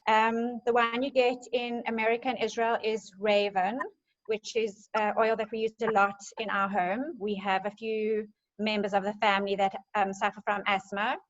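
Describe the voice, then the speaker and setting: A woman's voice: medium pitch, flat delivery, normal speed, dull audio. A woman presenting on essential oils in a chatty, enthusiastic way with many fillers. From South Africa. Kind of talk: casual group presentation.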